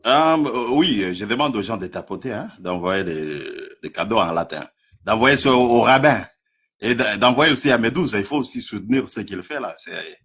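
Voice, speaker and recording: Husky stammering Congolese man. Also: on the low side, expressive, moderate pace, fairly bright tone. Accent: Congolese French. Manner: hesitant, stammering, chuckling. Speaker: debater in a live stream. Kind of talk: live online debate.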